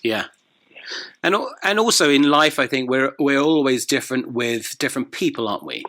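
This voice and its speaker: A man's voice: medium pitch, expressive, moderate pace, a bit bright. A man interviewing a guest, mostly offering short agreeing replies and the occasional remark. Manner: brief agreeing backchannels, laughs along. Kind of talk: casual interview chat.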